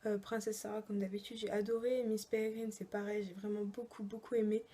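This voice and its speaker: Young woman vlogger, quiet half-whisper, hesitant, mellow and even, roomy sound. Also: mid-range voice, a little fast. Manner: hushed, often half-whispered, hesitant with many fillers. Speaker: book vlogger. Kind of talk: casual reading-challenge vlog diary. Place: at home at night.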